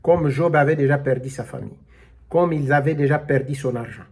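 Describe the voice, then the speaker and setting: A man preaching a sermon, slow and emphatic, calling out alleluia as he explains scripture. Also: medium pitch, darkish tone, husky. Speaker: pastor.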